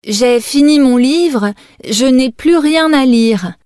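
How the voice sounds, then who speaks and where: Female exam narrator, higher voice, very dry recording, unhurried and precise delivery. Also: darkish tone, clear, resonant tone, dry recording. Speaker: language test narrator. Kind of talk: scripted exam narration and dialogue reading.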